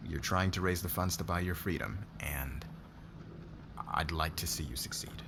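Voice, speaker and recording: A man's voice: extremely low pitch, normal speed, vocal fry, very noisy. A man playing a demanding, possessive boss in an ASMR roleplay, flirtatious yet guarded, with a commanding, intimate manner. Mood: guarded, earnest. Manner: commanding, smug, intimate, swearing.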